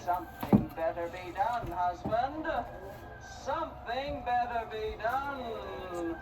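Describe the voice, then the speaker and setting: A woman's voice: medium pitch, expressive, takes it slowly. A woman playing a mean, complaining woodcutter's wife in a stage play, nagging and repeating herself. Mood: bitter, nagging. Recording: distant stage recording with music.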